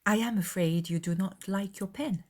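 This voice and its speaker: A woman's voice: medium pitch, moderate pace, a little mellow, natural voice. Woman playing a gushing, affected society lady. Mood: gushing, flattering. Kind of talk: dramatized period audiobook.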